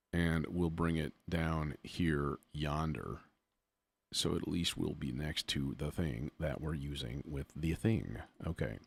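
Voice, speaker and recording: A man with a very low voice walks through a music production demo in an unhurried way. He thinks aloud casually, muttering to himself and using folksy turns of phrase. The delivery is slow and informal. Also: vocal fry, no echo. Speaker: music production tutorial host.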